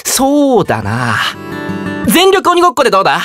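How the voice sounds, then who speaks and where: Wildly expressive teenage schoolboy. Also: male voice, very high voice, normal speed, music in the background, exaggerated voice. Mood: cocky, excited. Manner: blunt, casual boyish speech. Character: brash, competitive teenage schoolboy, sometimes sullen. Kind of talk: anime-style voice drama acting.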